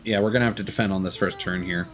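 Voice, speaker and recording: A man's voice: sits low, fairly even intonation, racing, fairly bright tone. A man giving casual, rambling live commentary as he thinks aloud through a video game. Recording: music behind the voice. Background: game music.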